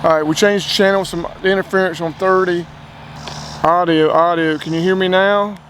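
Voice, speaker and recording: A man with a North Carolina accent hosting a casual outdoor radio test, talking in a relaxed, chatty way and explaining as he goes. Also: sits high, normal speed, noisy background. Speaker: outdoors channel host. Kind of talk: casual gear-test vlog. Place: outdoors by a car.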